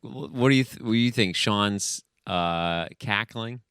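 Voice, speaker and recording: A man's voice: lowish voice, a little slow, a bit bright, smooth, no echo, studio quality. A man co-hosting a comedy podcast, bantering casually. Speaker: podcast co-host.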